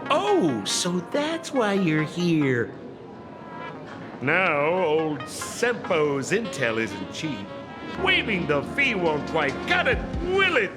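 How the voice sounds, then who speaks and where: A high-voiced, excitable old broker of secrets for a video game. He swoops through his lines with showy, teasing drama and lingers over words, with music playing clearly underneath. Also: full character voice. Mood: sly, teasing. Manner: dramatic, teasing, drawn-out delivery.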